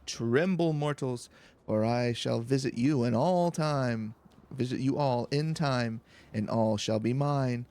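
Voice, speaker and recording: A man's voice: medium pitch, takes it slowly, a little mellow. A man voicing a vengeful, grandiose wind goddess in a fantasy game, declaiming theatrical threats. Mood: gloating menace. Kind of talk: villain monologue read aloud from a fantasy game.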